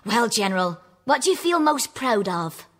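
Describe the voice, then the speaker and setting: A kindly housekeeper in an audio story. She is brisk and matter-of-fact, with a fairly high, lively voice.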